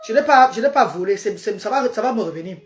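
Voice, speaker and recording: A woman's voice: medium pitch, animated, quick pace. A woman with a Cameroonian French accent, a native Medumba speaker, giving an emphatic, repetitive testimony on a phone live stream.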